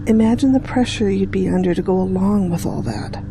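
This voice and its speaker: A woman playing a patient, devout middle-aged mother, gently encouraging in an audio drama. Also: medium pitch, fairly quick, background noise, natural voice. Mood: reassuring.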